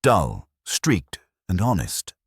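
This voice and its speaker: Extremely deep-voiced male story narrator. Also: huge pitch swings, slow, breathy edge, dry recording, studio quality. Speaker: audiobook narrator. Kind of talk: scripted mystery story narration.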